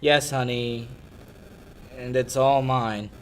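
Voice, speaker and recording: A man's voice: medium pitch, fairly even intonation, takes it slowly, a little hiss or hum, lightly acted. A young man playing a hapless, earnest young lawyer in a 1940s-style radio play, easily flustered. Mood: sheepish. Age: young adult.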